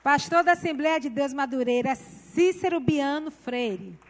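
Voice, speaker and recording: High-voiced woman announcing speakers formally. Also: animated, measured pace, a faint crowd, slight background noise. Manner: formal, reads out titles and names. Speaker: ceremony presenter.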